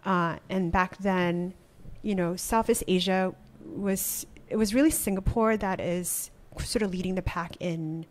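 A woman in her thirties from Malaysia, a startup founder answering interview questions reflectively with many pauses and restarts, speaking into a desk podcast microphone in a small studio. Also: fairly low pitch, fairly even intonation. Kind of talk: conversational interview. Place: small studio with wooden wall.

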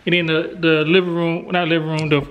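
A man's voice: a little high, little pitch movement, brisk, dull audio. An American man, around his thirties, giving a casual, chatty house tour as a family vlogger on a handheld camera. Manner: informal, chatty. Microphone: handheld vlog camera at arm's length. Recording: handheld camera with clear background noise.

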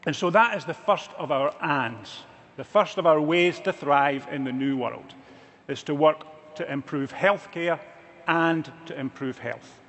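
Man, middle-aged, confident good-humored presenter, slightly raised pitch, husky tone, hall reverb. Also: a little slow, fairly bright tone. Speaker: keynote speaker, organization executive. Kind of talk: conference keynote address. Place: large conference hall stage.

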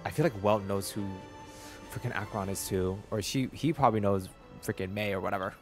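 A man's voice: fairly low pitch, a little fast. Playful, slangy young male game streamer. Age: young adult. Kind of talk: casual livestream gameplay chat. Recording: faint game music behind.

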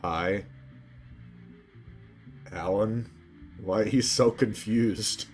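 Male streamer, lowish voice, unhurried, casual gameplay reactions murmured in an understated way. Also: a little mellow, soft background music. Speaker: game streamer. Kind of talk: casual playthrough commentary.

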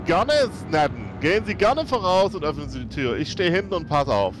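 A man's voice: fairly high pitch, big pitch swings, brisk, noisy background. A man playing in a tabletop role-playing session over a group video call, talking casually and in character. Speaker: tabletop role-play player. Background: music.

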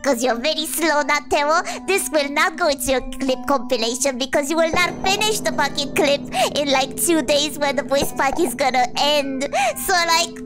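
A woman with a high-pitched voice streams over clearly audible music. She talks casually to her viewers, swearing freely, and comes across as exasperated and teasing. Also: moderate pace, few pauses. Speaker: livestreamer, VTuber. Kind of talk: casual livestream chat, reading donations.

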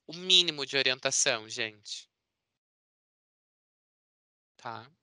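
A man's voice: medium pitch, expressive, slow pace, pushed and bright, smooth, no room sound, clean studio sound. A man with a Brazilian accent giving a chatty, explanatory webinar presentation over a video call. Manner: chatty, explanatory, often seeking confirmation with tag words. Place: indoor room on a video call. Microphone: video call microphone.

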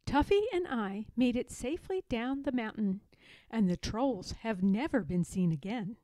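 A woman reading a children's story aloud in an expressive, dramatic way, leaning on key words. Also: on the high side, moderate pace, no room sound. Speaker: storybook reader.

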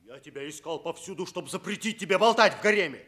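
An actor playing an agitated, scolding husband in a theatrical fairy-tale radio play, exclaiming and declaiming. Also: male voice, sits high, lively intonation, fast, bright, forceful tone.

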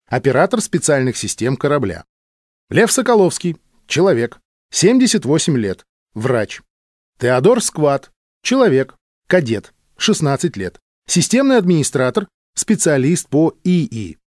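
A man narrating an audiobook from a script in measured, clearly enunciated phrases. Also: on the high side, very animated, darkish tone, no room sound, studio quality.

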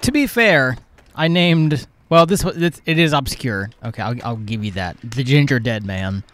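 Young man with mid-pitched voice, chatting casually. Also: animated, normal speed, fairly bright tone. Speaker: gaming video co-host. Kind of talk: casual chat.

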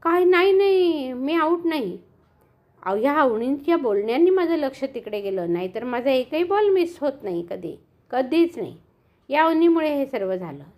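A woman voicing a proud, quick-tempered young boy in a storybook tale, insisting loudly. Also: high-pitched, very animated. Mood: defiant. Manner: loud, insistent, defiant.

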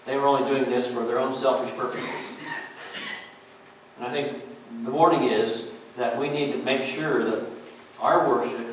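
Folksy preacher in an echoey church. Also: male voice, medium pitch, fairly even intonation, moderate pace, pauses often. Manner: folksy, conversational preaching with chuckles and "you know". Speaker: pastor. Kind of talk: sermon. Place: church sanctuary. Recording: very echoey church room, distant mic, faint hiss.

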